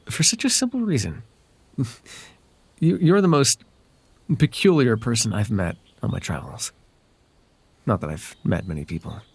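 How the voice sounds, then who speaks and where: A man's voice: on the low side, gravelly, slightly rough, no room sound, full character voice. Man acting a lilting, courteous fae wanderer. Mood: curious, amused. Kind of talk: ASMR roleplay voice acting.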